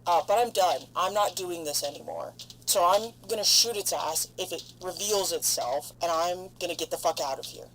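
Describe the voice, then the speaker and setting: A woman acting a weary, foul-mouthed, defiant lone spaceship survivor logging a halting, sardonic monologue in an audio drama. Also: lowish voice, close to an everyday voice. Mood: fed up, defiant. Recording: clear background noise, humming ship ambience. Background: noisy hum of spaceship sound design.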